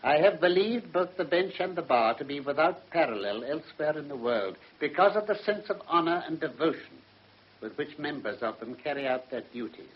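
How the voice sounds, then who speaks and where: An actor playing a stern, dignified judge with an English accent, speaking formally and severely in a radio drama. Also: male voice, on the high side, expressive, fairly quick, breathy edge. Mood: grave. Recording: muffled old radio recording.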